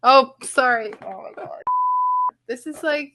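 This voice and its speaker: A woman's voice: high-pitched, measured pace, heavy background noise. A woman from Romania chatting casually as a sports show co-host, in loose phrasing peppered with "like". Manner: chatty, hesitant phrasing with many "like"s.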